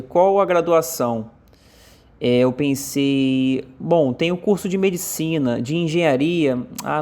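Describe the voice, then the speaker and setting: A Brazilian man interpreting a deaf speaker's talk aloud in first person, in short halting phrases with frequent fillers. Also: a little high, darkish tone. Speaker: sign language voice interpreter.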